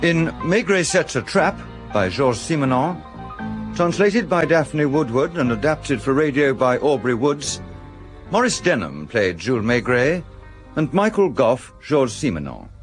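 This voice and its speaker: A man's voice: medium pitch, very animated, a little slow. A man with a British accent reading formal scripted radio credits as an announcer. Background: music.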